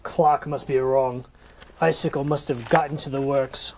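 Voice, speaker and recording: A man's voice: medium pitch, steady tone, unhurried, darkish tone, lightly acted. Man acting as grumbling male miser, puzzled, muttering to himself, comedic audio play, faint background noise. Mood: puzzled disbelief.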